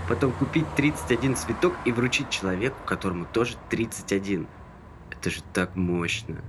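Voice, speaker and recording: Actor as a deep-voiced, rambling young dreamer. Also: male voice, natural voice. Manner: musing, rambling, conversational. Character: talkative, dreamy, good-natured young man. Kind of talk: naturalistic stage dialogue. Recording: noisy ambient background with vehicle sounds.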